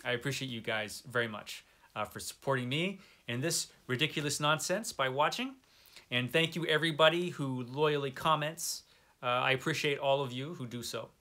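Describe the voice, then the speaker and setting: A man with a bright, forward voice at a middle pitch, talking off the cuff as a vlog host. He is informal and self-deprecating, and leans on certain words by stretching them out. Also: normal speed. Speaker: book vlogger, channel host. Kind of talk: casual, unscripted chat to viewers.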